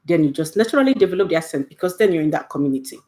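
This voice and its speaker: A woman's voice: low voice, big pitch swings, very fast, warm tone. A middle-aged woman with a Nigerian accent, giving a casual, patient online language lesson and checking in with "okay?" after each point. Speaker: Igbo language teacher.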